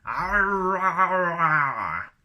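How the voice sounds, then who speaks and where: A man's voice: sits high, very animated, pushed and bright, pitched above the performer's own voice, full character voice. A man voicing a big hairy alien creature that growls and howls in short wordless bursts, as in a storybook reading. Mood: loud roaring. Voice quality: growling, howling. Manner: wordless roars and drawn-out moans in short bursts.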